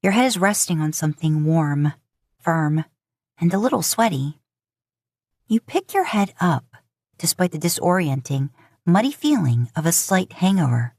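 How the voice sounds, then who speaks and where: Low-voiced woman narrating fiction. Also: big pitch swings, moderate pace, a little mellow, dry recording, clean studio sound. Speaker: podfic narrator.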